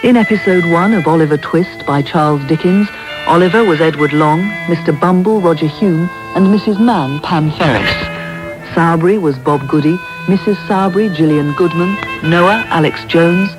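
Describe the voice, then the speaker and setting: A formal, measured radio announcer reads out credits in a mid-pitched voice over clear music. The delivery is scripted, taped off the air. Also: low-quality recording. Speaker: continuity announcer reading cast credits.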